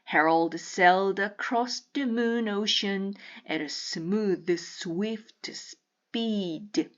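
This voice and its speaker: A woman reading a children's picture book aloud with deliberate, teacherly enunciation. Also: medium pitch, lo-fi sound. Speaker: storybook reader.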